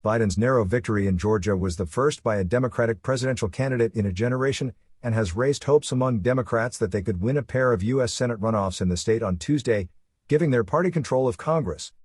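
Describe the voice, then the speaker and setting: A man reading a scripted news report in a formal, measured manner. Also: medium pitch, a little mellow, husky, no echo, studio quality. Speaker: news reader.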